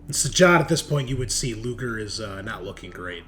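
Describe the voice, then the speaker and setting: Animated man running a tabletop game. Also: lowish voice, brisk, a crowd in the background. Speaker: game master. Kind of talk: narrating a tabletop roleplaying game. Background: music.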